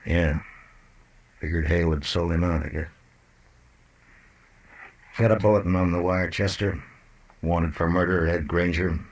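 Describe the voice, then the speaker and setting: A hard-nosed frontier lawman with a very low American voice. He talks in terse, challenging phrases with steady authority, in the manner of a classic radio western. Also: a little slow, pauses often, low-quality recording. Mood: grim. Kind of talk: old-time radio western acting.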